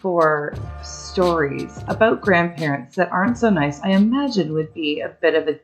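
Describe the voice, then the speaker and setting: A woman's voice: on the low side, moderate pace, soft background music. A woman podcast guest telling personal stories conversationally, in a candid, emphatic way with colourful colloquial phrasing, on slightly dull audio.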